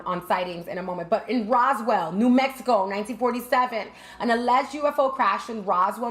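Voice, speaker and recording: Chatty woman host talking casually. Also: medium pitch. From United States. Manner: chatty, asks listeners rhetorical questions; frequent fillers and self-corrections. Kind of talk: casual talk-show monologue.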